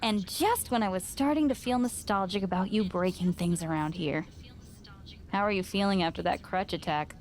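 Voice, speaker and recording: A woman's voice: medium pitch, moderate pace, darkish tone, slight background noise, natural voice. Wry, straight-talking woman mentor, voiced by an actress. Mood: teasing. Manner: dry, teasing, straight-talking. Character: frank, wry woman gym owner mentoring a wrestler. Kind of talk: video game cutscene dialogue.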